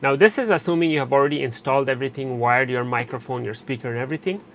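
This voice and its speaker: Male tutorial narrator, average pitch, halting explanatory delivery, recorded with light background noise. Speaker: technician giving a tutorial. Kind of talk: unscripted step-by-step instructional narration. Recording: steady background noise, room ambience.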